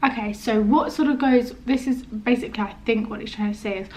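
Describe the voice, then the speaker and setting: A young woman with a stammer, chatting casually to camera in a halting, hesitant way, often trailing off. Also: fairly high pitch, a little mellow. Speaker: vlogger.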